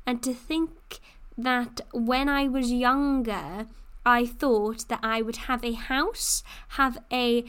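A woman's voice: fairly high pitch, steady tone, takes it slowly, a bit bright, clear, resonant tone. A woman, hosting a casual solo podcast and chatting freely, with plenty of "like" and "you know.". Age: 20s. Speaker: podcast host.

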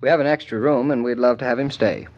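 A man's voice: medium pitch, steady tone, fast talker, natural voice. A man acting wry young husband, dull old radio sound. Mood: friendly, agreeable. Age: young adult. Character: easygoing, teasing young husband. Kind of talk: 1940s radio soap opera acting. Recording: dull 1940s radio broadcast recording.

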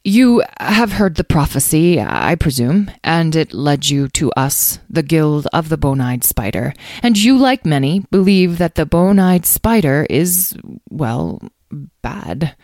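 A woman voicing a solemn human guild leader in a children's story, measured and grave with weighty pauses. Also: low-pitched, big pitch swings, darkish tone, no echo, clean studio sound, exaggerated voice.

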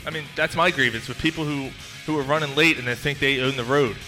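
A man's voice: medium pitch, quick pace, over music. A man from Philadelphia in casual banter, stubborn and joking. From Philadelphia, USA. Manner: stubborn, joking, laughs often.

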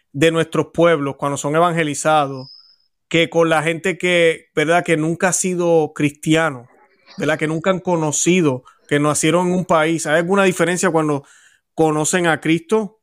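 Male interviewer, fairly high voice, encouraging, restarts his questions. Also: fairly even intonation, moderate pace, fairly bright tone.

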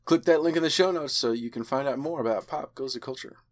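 A man's voice: mid-range voice, fast talker. A man hosting a podcast in a chatty, enthusiastic way. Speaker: podcast host.

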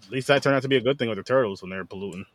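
A man's voice: medium pitch, racing, no echo. A man hosting a film podcast in a casual, chatty style.

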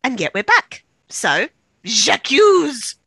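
A bright, highish female co-host trades playful, mock-serious scripted banter. Her pitch is lively and the recording is dry. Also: slow, husky, no echo. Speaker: show co-host.

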